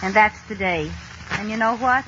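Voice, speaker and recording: An actress playing a scheming, wheedling American housewife in a theatrical 1950s radio comedy style. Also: female voice, mid-range voice, normal speed, sharp tone, natural voice. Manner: exaggerated, theatrical. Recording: muffled, noisy old radio recording.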